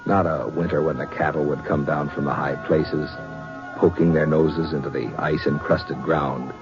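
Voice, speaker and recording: An actor playing a folksy, lyrical Old West storyteller, narrating a radio drama in measured, poetic phrases. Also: male voice, rumbling low, level tone. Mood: wistful, atmospheric. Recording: muffled vintage radio, music behind.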